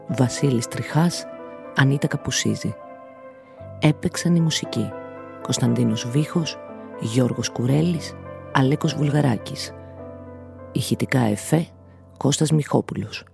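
A male announcer with a heavily creaky, gravelly voice at a medium pitch reads radio play credits. Music plays prominently behind his scripted delivery. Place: studio.

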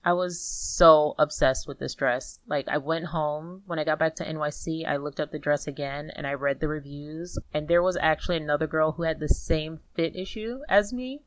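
A woman with a low-pitched voice hosts a podcast in a casual register. She talks conversationally and informally. The sound is dry, with very little room in it. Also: normal speed, few pauses, dry recording, low-quality recording. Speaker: podcast host. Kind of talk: casual commentary and chat.

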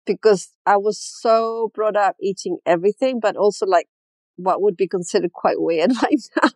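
A frank, self-mocking woman in her fifties who grew up in Chile talks freely as an interview guest. Her voice is mid-pitched and dry, with no room around it, and she often laughs at her own lines. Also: moderate pace, a little mellow, no room sound. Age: 50s. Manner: candid, self-deprecating, laughs often, many "you know"s. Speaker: guest, fashion designer. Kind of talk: casual interview chat.

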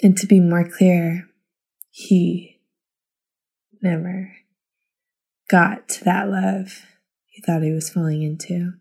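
A woman telling a personal story and reading poems aloud in an intimate, reflective way, with halting pauses. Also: on the low side, flat delivery, a little mellow, slightly rough. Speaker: listener contributor.

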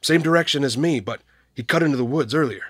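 An actor playing a gruff, guilt-ridden middle-aged small-town American man in an audio drama, husky-voiced, blunt and folksy. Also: mid-range voice, quick pace, no room sound, studio quality, natural voice. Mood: wry, pressing. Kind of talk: naturalistic audio drama acting.

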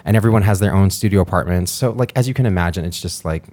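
A young adult man from Hawaii talking casually about his dance career as a podcast guest. His voice sits a little low, and he speaks in an animated way, peppering his sentences with fillers. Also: fast talker. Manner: chatty, animated, lots of "like" fillers. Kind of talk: casual interview chat.

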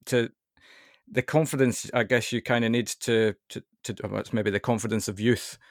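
A man's voice: mid-range voice, fairly even intonation, moderate pace, no room sound, studio quality. A man with a Scottish accent chatting casually as an interviewer. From Scotland.

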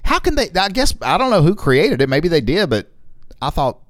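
A man co-hosting a casual podcast, reading headlines and tossing in quick jokes. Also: a little high, sing-song, dry recording, clean studio sound. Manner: jokey, quick asides and laughs. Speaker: podcast co-host.

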